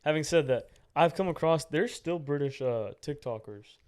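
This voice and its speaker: A young American man co-hosting a podcast in relaxed casual chat, full of slang and quick agreement. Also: a little high. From United States. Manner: laid-back, lots of "yeah" and slang. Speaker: podcast co-host.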